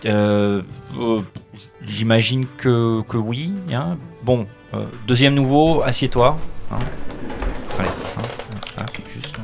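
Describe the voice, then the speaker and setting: A man playing a stressed, sarcastic office administrator with halting, grumbling delivery in an audio comedy drama. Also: medium pitch, gravelly. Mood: harried. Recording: faint music behind the voice.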